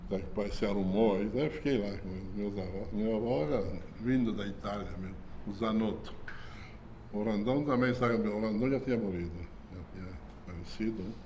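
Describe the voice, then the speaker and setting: An older man reminisces in a casual interview. He rambles in a folksy way, tags his sentences with "né?" and chuckles softly. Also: fairly low pitch, fairly quick, slight background noise. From southern Brazil. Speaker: company founder being interviewed.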